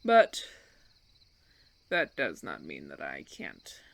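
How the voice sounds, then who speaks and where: A man playing a suave, condescending vampire colony leader in a roleplay audio drama, theatrical and teasing, with drawn-out phrasing. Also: very deep voice, huge pitch swings, gravelly, fairly bright tone, a little hiss or hum, full character voice. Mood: sly, persuasive.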